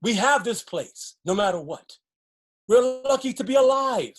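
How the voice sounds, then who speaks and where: Woman acting a wary, husky-voiced flood survivor. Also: fairly high pitch, expressive, unhurried. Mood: earnest, reassuring. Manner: pragmatic, cautioning, placating. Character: wary, resigned flood survivor clinging to a cramped shelter. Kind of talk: stage play dialogue.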